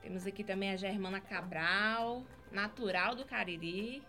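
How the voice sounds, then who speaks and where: A woman's voice: mid-range voice, moderate pace, pushed and bright, faint music behind. A woman reporter hosting a podcast, introducing guests and asking them questions.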